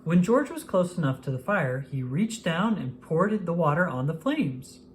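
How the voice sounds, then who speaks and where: A narrator reading a children's picture book aloud in an expressive, scripted storytelling style. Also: mid-range voice, normal speed, a little hiss or hum. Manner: expressive, acts out exclamations and dialogue.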